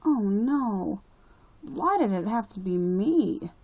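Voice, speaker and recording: A woman voicing a sweet, sensitive young schoolgirl in a storybook, earnest and expressive. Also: mid-range voice, slow pace, slightly rough, highs cut off, full character voice. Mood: wistful, upset.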